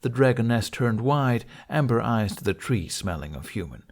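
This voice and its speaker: A man's voice: medium pitch, expressive, normal speed, darkish tone. A man reading a scripted fantasy story as an audiobook narrator.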